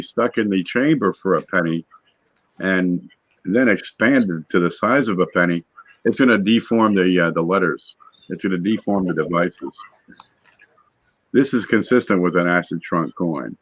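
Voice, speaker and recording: A man's voice: deep voice, moderate pace, fairly bright tone, no room sound. A man hosting a casual panel Q&A. He reads viewer questions aloud and explains things in a chatty way, with fillers and restarts. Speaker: show host.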